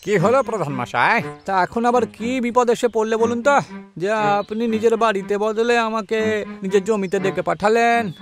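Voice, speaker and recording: A man playing a slow-witted, fawning, grumbling village landlord in a cartoon, in an exaggerated way. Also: high-pitched, close to an everyday voice. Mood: puzzled. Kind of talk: dubbed cartoon acting. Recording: voice over faint background music.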